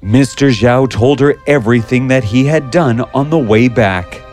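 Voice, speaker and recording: A man narrating a scripted fairy tale in an expressive, measured way. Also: mid-range voice, faint music behind. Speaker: storyteller.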